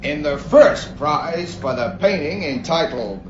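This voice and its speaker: A man's voice: a little high, animated, slow pace. A man playing a genial, formal announcer at a local contest in a radio drama. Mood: ceremonious. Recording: echoey, muffled, very noisy announcement.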